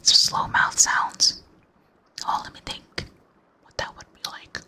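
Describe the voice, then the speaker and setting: A young adult ASMR streamer chatting with viewers in a rambling, close-mic whisper, full of fillers and soft little laughs. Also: measured pace. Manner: laughs softly between thoughts. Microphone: close mic, near enough to blow into.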